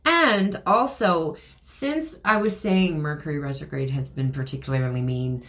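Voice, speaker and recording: A middle-aged woman hosting a casual spiritual podcast, reading oracle cards, chatty with many ums and laughs, a little hoarse as she is losing her voice. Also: deep voice, a little slow, fairly bright tone. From Canada. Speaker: podcast host and card reader.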